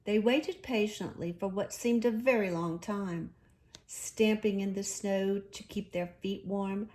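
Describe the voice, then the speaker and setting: A woman narrating a children's storybook in an expressive, deliberate storytelling manner. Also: medium pitch. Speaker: storybook reader.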